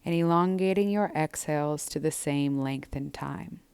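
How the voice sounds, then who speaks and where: Low-voiced woman guiding gentle meditation. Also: clear, resonant tone. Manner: gentle, measured, soothing guidance. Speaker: yoga and meditation instructor. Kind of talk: guided breathwork and body scan instruction.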